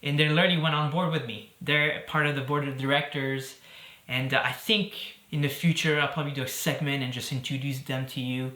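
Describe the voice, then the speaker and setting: A man's voice: mid-range voice, fairly even intonation, a little fast, bright, forceful tone, reverberant. A man from Belgium in his thirties, hosting a casual talk to camera in an upbeat, chatty way. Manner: upbeat and chatty, with fillers and jokes. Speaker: host.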